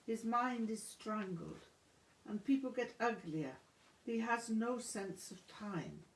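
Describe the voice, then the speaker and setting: Slow-paced woman reading in an echoey room. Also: a little high, animated, a little hiss or hum. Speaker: audiobook reader. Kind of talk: scripted memoir narration with acted dialogue. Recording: roomy, echoing room sound.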